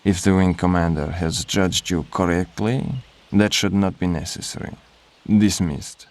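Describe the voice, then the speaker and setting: Severe Polish major, low voice, stiff, formal, curt, distrustful, game cutscene. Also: male voice, steady tone, measured pace, darkish tone. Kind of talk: video game cutscene acting.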